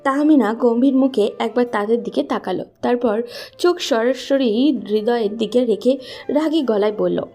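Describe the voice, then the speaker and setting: A woman's voice: on the high side, normal speed, dark tone. A woman narrating a scripted romantic story as its storyteller.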